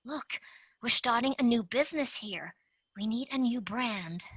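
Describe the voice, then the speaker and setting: A woman's voice: a little high, lively intonation, a little slow, a bit bright, slightly rough, no room sound, heavily acted. A woman playing a brash, scheming female tooth fairy agent in a stage play. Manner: brash, wheeling-dealing.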